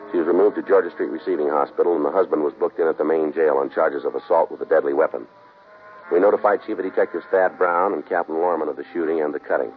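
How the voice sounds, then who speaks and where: A no-nonsense detective, very deep, quick and terse, thin 1950s radio sound. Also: male voice. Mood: clipped. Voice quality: terse, flat, matter-of-fact. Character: clipped, terse male police detective. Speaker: police detective and narrator. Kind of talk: 1950s radio crime drama narration. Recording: tinny old radio broadcast, faint hiss.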